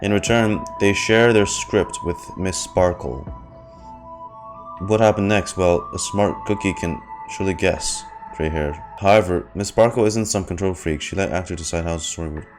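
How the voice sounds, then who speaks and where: A man's voice: sits low, normal speed. A man streaming a game reaction, reading dialogue aloud and giving his commentary over game music.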